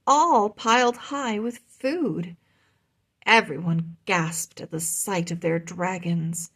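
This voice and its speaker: Slow, expressive woman reading to children. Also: mid-range voice, slightly rough. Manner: expressive, deliberate. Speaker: storybook reader, host. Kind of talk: children's read-aloud, scripted narration.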